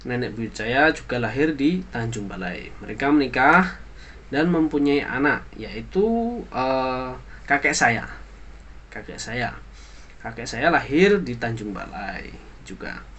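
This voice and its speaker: A young man, a university student, giving an earnest spoken opinion for a class assignment, halting now and then with fillers and restarts as he moralizes. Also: medium pitch, animated, a bit bright, a little hiss or hum. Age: young adult.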